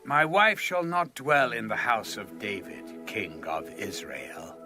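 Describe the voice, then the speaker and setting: A man's voice: lowish voice, big pitch swings, slow pace, over music. An actor voicing a solemn, pious ancient king in a dramatized scripture audiobook.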